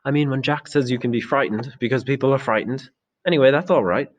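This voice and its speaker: Man voicing an excitable, rambling young schoolboy, pitched up. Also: lo-fi sound, close to an everyday voice. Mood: earnest, uncertain. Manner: rambling, halting, self-correcting. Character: excitable young schoolboy, earnest and uncertain, talking big.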